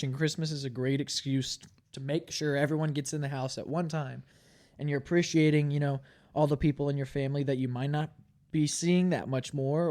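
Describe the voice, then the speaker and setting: A man's voice: a little high, darkish tone. A man, a podcast co-host, sharing thoughtful, hedged opinions in a casual group chat. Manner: measured, thoughtful, hedging opinions.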